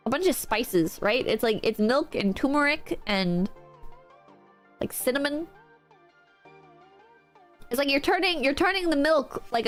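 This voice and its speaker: A woman streamer with a nasal, stuffy-nosed voice and a sore throat from a cold, chatting excitably and yelling and swearing in bursts. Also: sits high. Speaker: streamer reading out online reviews. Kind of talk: casual livestream chat.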